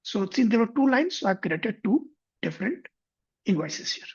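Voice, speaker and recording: A man guides viewers through a software tutorial in a husky, slightly breathy voice. He moves step by step and rephrases as he goes. The recording sounds dull and lo-fi. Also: high-pitched, big pitch swings, moderate pace. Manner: methodical, step-by-step, frequent self-corrections. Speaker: software instructor. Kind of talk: screen-share tutorial explanation.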